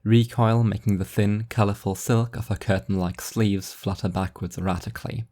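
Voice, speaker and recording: Low-pitched, wry story narrator. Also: level tone, normal speed, dark tone. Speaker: author reading own story as narrator. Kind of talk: scripted fiction narration.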